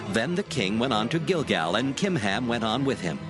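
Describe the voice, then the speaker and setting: A man's voice: mid-range voice, sing-song, moderate pace. A man narrating a dramatized scripture from a script in a solemn style. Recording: music behind the voice.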